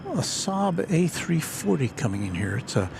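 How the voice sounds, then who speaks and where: A man's voice: fairly high pitch, very animated, moderate pace, creaky. A man hosting a live airport stream from outdoors, chatting casually and reading out viewer comments. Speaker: livestream host reading chat. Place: outdoors near an airport runway. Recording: loud outdoor airport noise. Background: aircraft and traffic noise.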